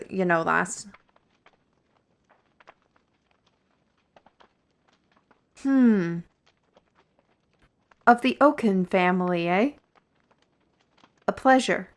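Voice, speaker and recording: A woman's voice: mid-range voice, a bit bright. A woman playing a wry, learned artisan steward in a fantasy audio roleplay, talking conversationally and instructively to the listener. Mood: pleased, courteous.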